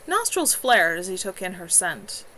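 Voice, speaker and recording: A woman reading her own novel aloud at home in an expressive storytelling manner. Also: on the low side, a little slow, slight background noise.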